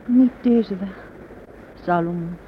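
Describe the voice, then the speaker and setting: A woman's voice: medium pitch, slow pace, lightly acted. An actress in a radio play playing a young, fearful, visionary queen in a theatrical, dread-filled manner. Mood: frightened. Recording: noisy, dull old radio recording.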